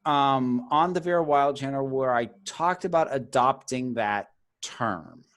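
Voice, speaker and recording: A baritone-voiced man, a guest in a casual interview, talking at length in an articulate, chatty way with many "like"s. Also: measured pace, fairly bright tone. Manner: articulate and talkative, lots of "like", laughs mid-sentence. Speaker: guest YouTuber.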